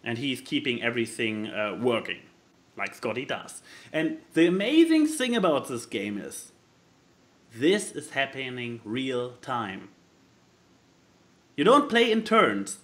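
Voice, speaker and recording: A man who is not a native English speaker, chatting casually as a podcast co-host and haltingly searching for words as he explains things. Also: mid-range voice, sing-song, a bit bright. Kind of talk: casual chat explaining a board game.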